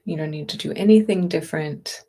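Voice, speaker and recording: A woman leading a guided meditation and gentle movement practice as a teacher, speaking in a gentle, unhurried, reassuring way. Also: sits low, husky.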